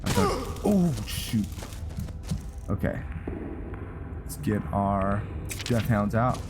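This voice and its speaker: Slow, low-voiced man doing game commentary. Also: big pitch swings, background noise. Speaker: gaming video host. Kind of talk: casual let's play commentary. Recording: loud music under the voice. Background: loud game music.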